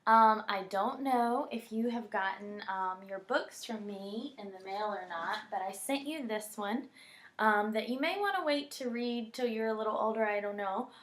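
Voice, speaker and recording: A woman speaking affectionately to a young child, as in a personal bedtime message with expressive readings of children's poems, animated and sing-song in the verse. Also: mid-range voice, moderate pace, roomy sound.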